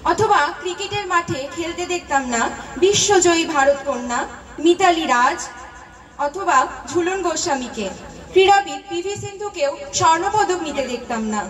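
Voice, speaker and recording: A woman's voice: very high voice, roomy sound, long reverb tail, distant mic. A woman voicing an earnest young village daughter in an amateur puppet show, pleading and declaiming.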